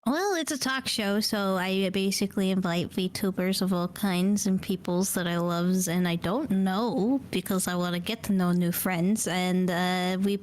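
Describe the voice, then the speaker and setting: An American woman joins a casual podcast as a guest, speaking at an ordinary middle pitch. She jokes her way through her answers and stays playful and giggly. She sounds like she is talking at home into her own streaming mic. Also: unhurried, hardly any pauses. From United States. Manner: playful, giggly, jokey self-introduction.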